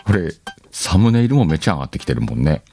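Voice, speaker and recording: A man's voice: a bass voice, sing-song, fast talker, creaky, warm tone. A man hosting a casual show, rambling as he chats and reads listener letters, with music behind him. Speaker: host.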